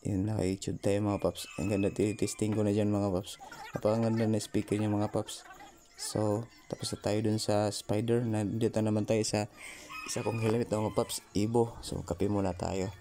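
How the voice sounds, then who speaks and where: A man's voice: fairly low pitch, level tone, normal speed, warm tone. A man with a Filipino accent narrating a casual how-to vlog, talking informally to viewers as his buddies. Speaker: vlogger.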